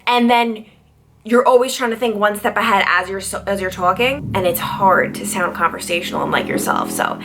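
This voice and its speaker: A woman in her twenties from Long Island, New York, answering viewer questions in a casual vlog. She talks in a chatty way, full of "like", and her pitch jumps high when she gets excited. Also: moderate pace, vocal fry, noisy background. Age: 20s. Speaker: TV news reporter and vlogger.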